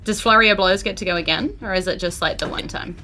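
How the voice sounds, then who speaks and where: A woman with a New Zealand accent playfully improvising narration as game master of a tabletop game, pausing as she describes the action. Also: mid-range voice, bright, forceful tone.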